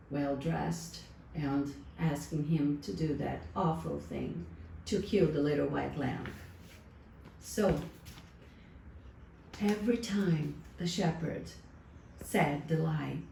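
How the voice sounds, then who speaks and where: A woman telling a folktale in an unhurried, pausing way, with asides to her listeners. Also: deep voice, vocal fry, mellow. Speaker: storyteller. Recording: distant, roomy sound with faint noise.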